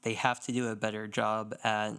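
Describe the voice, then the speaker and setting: A man's voice: on the low side, little pitch movement, normal speed, a bit bright, smooth. A man co-hosting a casual gaming podcast, talking enthusiastically and giving emphatic opinions. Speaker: podcast co-host.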